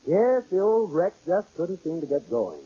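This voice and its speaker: A man's voice: high voice, sing-song, moderate pace. An actor playing a long-suffering husband in a 1940s radio sitcom, polite to company and exasperated with his wife. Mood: apologetic. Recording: dull, noisy old radio recording.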